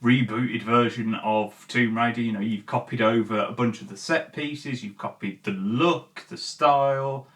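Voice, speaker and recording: A male-sounding voice with a mid-range pitch, recorded at some distance from the microphone. The host talks informally and gives opinions in a halting, essay-like commentary, leaning hard on key words. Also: steady tone, a bit bright. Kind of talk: video essay commentary, casual chat to viewers.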